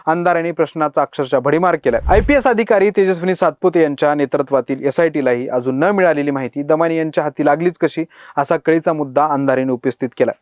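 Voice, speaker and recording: A man's voice: fairly high pitch, dry recording. A man presenting a scripted news explainer in an emphatic manner, posing rhetorical questions.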